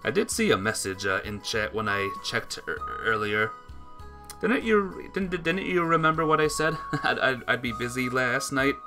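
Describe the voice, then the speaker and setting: A man's voice: medium pitch, expressive, normal speed, music in the background. A man streaming a visual novel, reading the text aloud dramatically and chatting casually with viewers.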